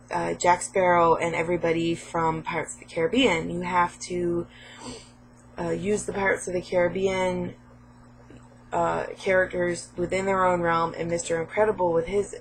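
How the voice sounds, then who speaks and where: A hoarse, slightly sniffly woman with a fairly low voice chats about her latest finds, rambling along with plenty of ums and uhs. A faint hum sits under her talk. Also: low-quality recording. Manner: chatty, rambling, many fillers. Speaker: game collecting vlogger. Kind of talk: casual chat about game pickups.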